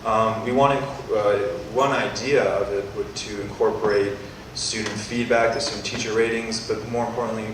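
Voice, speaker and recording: A man's voice: fairly low pitch, fairly even intonation, normal speed, a little hiss or hum. Rambling young man in echoey hall. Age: young adult. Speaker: student startup presenter. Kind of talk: pitch Q&A answer. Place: university event hall. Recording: echoey hall, distant mic.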